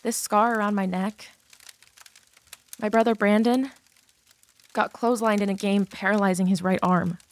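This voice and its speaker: A woman's voice: medium pitch, fairly even intonation, normal speed, pauses often, natural voice. A woman playing an abused teenage girl confessing a painful past in a dramatized audiobook. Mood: pained recounting.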